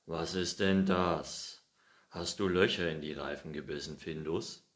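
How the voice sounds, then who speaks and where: A man's voice: a bass voice, big pitch swings, unhurried, creaky, heavily acted. A man voicing an absent-minded, tidy old farmer who grumbles and scolds his cat in a storybook reading. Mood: scolding. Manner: loud, grumbling, muttering hm.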